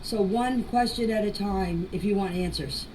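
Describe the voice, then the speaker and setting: A woman's voice: medium pitch, moderate pace, a little mellow. Older woman, distant noisy voice message. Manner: pleading, insistent, plain-spoken. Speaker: grandmother answering questions in a group voice chat. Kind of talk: emotional personal statement in voice messages.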